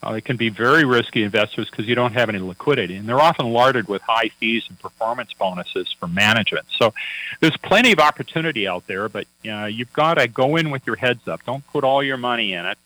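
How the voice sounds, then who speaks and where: A man's voice: medium pitch, animated, fairly quick, sharp tone, dry recording, rough recording. A man working as a financial advisor, chatting on a radio talk show in a folksy, anecdotal way with many fillers and restarts.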